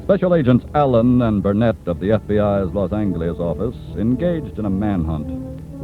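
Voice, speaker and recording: A man reading scripted narration for a 1940s radio crime drama. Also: lowish voice. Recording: muffled, noisy vintage 1940s radio broadcast. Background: music.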